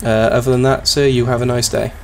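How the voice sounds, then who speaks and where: Man, slightly raspy, sore throat, easygoing and polite, faint noise behind. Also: mid-range voice, level tone, fast. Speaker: streamer playing a sheriff's deputy. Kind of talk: casual roleplay chat.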